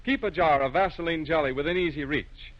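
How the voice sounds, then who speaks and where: A man's voice: on the high side, fairly quick. A man announcing in a formal, polished 1930s radio advertising style, on a muffled old recording.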